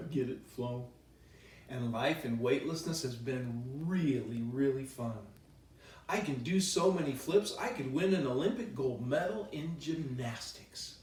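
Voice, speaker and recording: A man reading a children's bedtime story aloud in a playful, expressive way with chatty asides. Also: mid-range voice, slight background noise. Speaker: children's author reading his own book. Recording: roomy echo, distant microphone.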